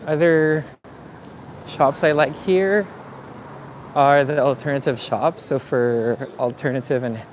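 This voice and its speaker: A man from the Philippines hosting a live walking tour outdoors, talking in a relaxed, chatty way with many fillers. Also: mid-range voice, slow, pauses often. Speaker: vlogger. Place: city streets outdoors. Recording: faint street noise outdoors. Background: street traffic and ambience.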